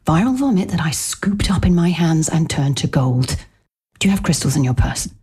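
An actress playing a pushy, upbeat new-age book agent with a breathy voice in an audio romantic comedy. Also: female voice, deep voice, animated, normal speed, dark tone, heavily acted. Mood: soothing, pushy. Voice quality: breathy, husky. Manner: persuasive, breezily insistent.

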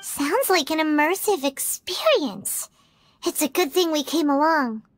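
A tiny, outspoken fairy companion from a video game. The voice is squeaky and extremely high, swooping in an animated way at an unhurried pace. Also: female voice, no room sound, clean studio sound. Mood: bright, determined. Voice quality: squeaky, childlike. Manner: exclamatory, animated.

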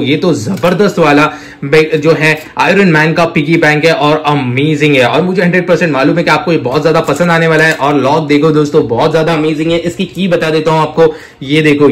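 A man with a slightly high voice presents toys in casual chat. He is effusive, stacking praise words, sprinkling in English and calling his viewers friends. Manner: effusive, repeats superlatives and addresses viewers as friends. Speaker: toy review host.

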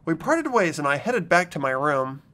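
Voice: medium pitch, big pitch swings, moderate pace, fairly bright tone. A performer playing an earnest, easily startled teenage schoolboy who narrates and speaks in a visual novel dub. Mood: subdued narration. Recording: background game music.

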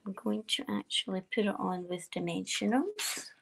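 Quiet-voiced woman with heavy vocal fry. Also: mid-range voice, sing-song, moderate pace. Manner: chatty, explaining steps while working. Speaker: card-making demonstrator. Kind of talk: live crafting demonstration. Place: craft desk, indoors.

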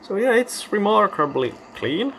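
Male hobbyist presenter, unhurried and matter-of-fact, halting delivery, mid-range voice, faint noise behind. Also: high-pitched, very animated, fairly bright tone, rough recording. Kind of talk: casual technical demonstration. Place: indoor electronics workbench.

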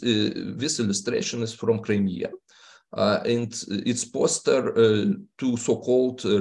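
A middle-aged man from Lithuania whose first language is Russian, giving a halting online seminar talk full of filler sounds and non-native phrasing. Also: lowish voice, creaky, darkish tone. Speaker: seminar speaker. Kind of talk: academic lecture over video call. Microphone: video call headset or webcam mic.

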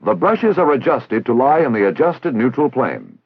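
Male narrator, American, for vintage 1960s instructional film; formal and didactic, husky edge, very dry lo-fi sound. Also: mid-range voice, big pitch swings, moderate pace, dry recording. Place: recorded voice-over.

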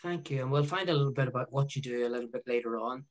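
A man, chairing an online panel from his home study in measured, welcoming tones. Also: medium pitch. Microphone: headset on a video call.

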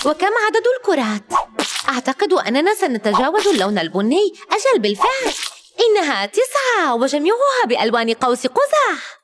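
A woman narrating a children's educational cartoon in an exclamatory way, speaking directly to a character. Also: extremely high pitch.

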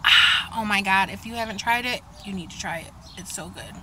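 A woman's voice: mid-range voice, brisk, very noisy. A young woman chatting casually through a grocery haul vlog, enthusiastic about the food she shows, speaking into a handheld camera in her car and later in her kitchen. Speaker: vlogger. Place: parked car, then kitchen.

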